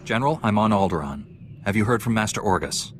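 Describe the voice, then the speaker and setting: Guy as a cocky jedi hero in a game, lowish voice, talks fast, wry n plain. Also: expressive, loud music behind the voice, lightly acted. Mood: questioning. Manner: plain-spoken, confident, wry. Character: confident, wry young male Jedi Knight hero. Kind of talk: video game voice acting.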